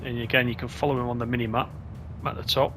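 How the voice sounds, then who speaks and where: A man provides casual commentary for a gaming video, chatting and thinking aloud as he plays. His pitch is middling for a man. Clear game music runs behind his voice. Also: level tone, fast talker.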